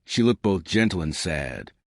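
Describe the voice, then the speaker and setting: Male storyteller, lowish voice, animated intonation, scripted fairytale narration, dry sound. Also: moderate pace, creaky, no room sound.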